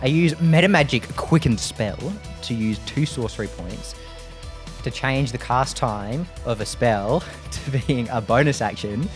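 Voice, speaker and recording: A man's voice: medium pitch, unhurried, faint music behind. A man playing a tabletop role-playing game, chatting and improvising casually in a jokey, protesting manner.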